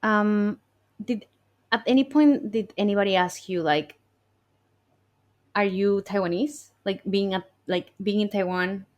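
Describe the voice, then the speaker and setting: A woman's voice: medium pitch, unhurried, pauses often. A woman in her twenties co-hosting a podcast in casual chat, asking curious questions and affirming often. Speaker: podcast co-host.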